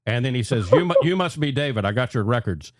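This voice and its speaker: An American man hosting a radio show in an animated, conversational way, with emphatic, repeated phrases. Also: mid-range voice, fast talker, no echo, studio quality.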